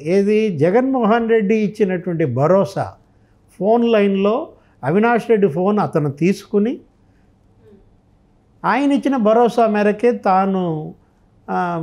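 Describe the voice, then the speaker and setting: Male political commentator, high-pitched voice, emphatic delivery, rhetorical questions. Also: darkish tone. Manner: emphatic, rhetorical questioning, re-enacting others' remarks. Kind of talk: impassioned political commentary in discussion.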